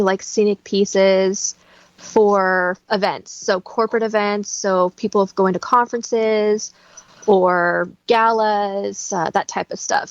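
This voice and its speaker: A woman's voice: medium pitch, dry recording, dull audio. A woman talking in a casual conversational interview as a guest, explaining things in a chatty way.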